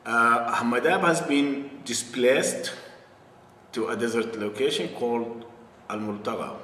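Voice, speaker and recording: A man from northern Sudan being interviewed, speaking slowly and deliberately with many pauses and repeated words. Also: medium pitch, sharp tone, reverberant, in a large hall, recorded from a distance, a little hiss or hum. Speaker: interviewee, affected community member. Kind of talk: slow deliberate explanation.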